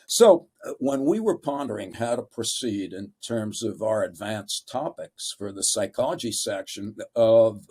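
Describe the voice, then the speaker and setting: A man with a lowish, rough-edged voice introduces a panel as its host. His phrasing is formal and academic, with long, winding sentences. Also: darkish tone.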